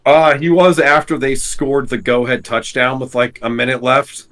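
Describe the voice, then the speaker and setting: A man co-hosting a casual sports podcast, rambling with many fillers, his voice gravelly from screaming. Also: medium pitch, little pitch movement, normal speed, fairly bright tone. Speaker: podcast co-host.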